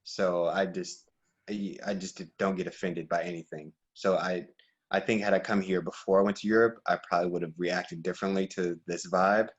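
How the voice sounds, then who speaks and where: A young American man talking as a podcast guest in a relaxed, reflective way, with fillers and restarts. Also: low voice, moderate pace, husky. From United States. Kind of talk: casual conversation. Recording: dull lo-fi sound.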